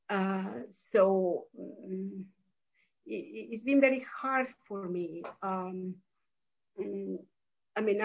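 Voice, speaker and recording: A woman's voice: medium pitch, vocal fry, breathy edge, muffled recording. A woman from Mexico with a Mexican Spanish accent, a professor and author answering interview questions hesitantly, with many fillers and restarts.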